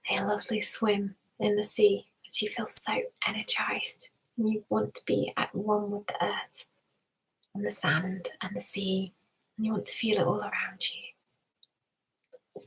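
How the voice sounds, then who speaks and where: Female ASMR meditation guide: slow, whispered delivery, gentle and soothing, with soft repetitions. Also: mid-range voice, poor audio. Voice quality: breathy, mostly whispered. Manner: soothing, gentle, repeats words softly. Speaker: ASMR streamer guiding a meditation.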